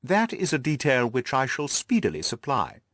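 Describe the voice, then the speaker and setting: A man's voice: mid-range voice, wildly swooping pitch, normal speed, a bit bright, no room sound, full character voice. A man voicing a confident, amused detective in an audiobook, precise and teasing in his talk. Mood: assured, businesslike. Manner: wry, precise, self-assured. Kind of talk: audiobook character dialogue.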